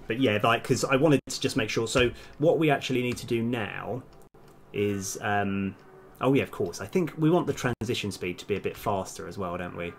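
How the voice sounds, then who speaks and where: A man's voice: fairly low pitch, expressive, normal speed, a little hiss or hum. A man doing casual live-stream commentary as a game developer, thinking aloud in a hesitant, self-correcting way.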